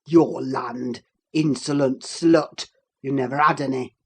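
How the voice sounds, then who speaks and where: A woman's voice: sits low, fairly even intonation, a little slow, dark tone, pitched below the performer's own voice. A woman reading aloud, voicing a furious, brooding middle-aged master of the house who threatens and gives orders. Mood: raging. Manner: harsh, threatening, commanding.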